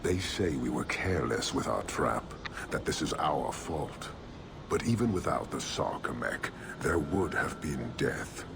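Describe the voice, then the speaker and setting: An actor playing a stern, proud giant military commander in a video game, speaking in a grave, formal, declamatory way. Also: male voice, extremely low pitch, animated, measured pace, gravelly, noisy background, heavily acted. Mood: cold disdain.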